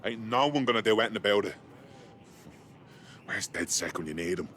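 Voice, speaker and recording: An actor playing a boozy, cynical British detainee in a video game, grumbling in a sardonic, colloquial way. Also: male voice, on the low side, lively intonation, brisk, a bit bright, a little hiss or hum. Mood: resigned. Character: cynical, boozy male detainee in dystopian city.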